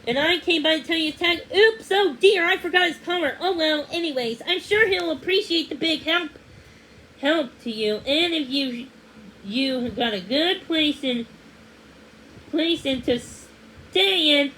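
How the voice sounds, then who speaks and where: Woman reading as a high-pitched, flustered country lady. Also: a bit bright, slight background noise, low-quality recording. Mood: flustered, hurried. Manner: breathless rushing, words tumbling over one another. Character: flustered, hurried country lady, apologetic and chattering.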